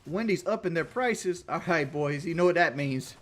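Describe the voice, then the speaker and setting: A man's voice: fairly high pitch, animated, moderate pace. A man chatting casually on a livestream, rambling and blunt, swearing freely and repeating himself as he talks to his viewers. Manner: repeats himself and restarts often.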